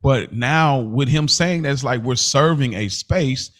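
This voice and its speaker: A man's voice: mid-range voice, a little slow, fairly bright tone. A man talking casually as a podcast co-host, slangy and emphatic, often repeating phrases to drive his point. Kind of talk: casual conversational podcast chat.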